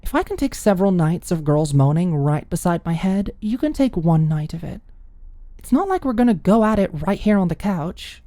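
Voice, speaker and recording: A woman's voice: lowish voice, sing-song, normal speed, dark tone, no echo, voice pushed up. A woman voicing a proud, prim young woman in an audiobook, defensive yet smitten. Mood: scoffing. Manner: scoffing, earnest, giggly on the phone. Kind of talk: audiobook character dialogue.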